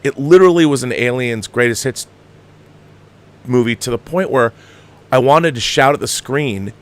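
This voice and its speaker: A man's voice: medium pitch, normal speed. A man giving opinionated, conversational film commentary as a show host, emphatic and exasperated, repeating himself for emphasis.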